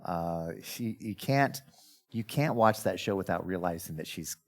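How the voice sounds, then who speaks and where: Middle-aged male entertainer, very low voice, chatty interview storyteller. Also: a little slow. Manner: animated storyteller who acts out quoted dialogue. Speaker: magician guest telling his life story.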